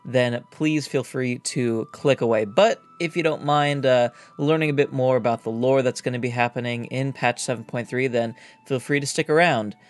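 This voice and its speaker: A man's voice: mid-range voice, normal speed, few pauses. A man hosting a gaming video, giving informal lore commentary in a hesitant, explanatory way, as if thinking aloud. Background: background music.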